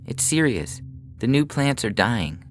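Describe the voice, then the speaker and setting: Man voicing an earnest little girl, pitched up. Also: sing-song, measured pace. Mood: serious, direct. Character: ten-year-old girl, perceptive, serious, caring daughter. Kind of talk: audio short story character reading. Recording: music behind the voice.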